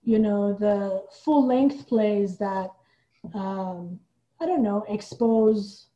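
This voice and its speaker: A woman from Iran speaking as a guest in a reflective interview, thoughtful and halting, often checking in with the listener. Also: medium pitch, warm tone, lo-fi sound. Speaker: podcast guest, playwright and theater director.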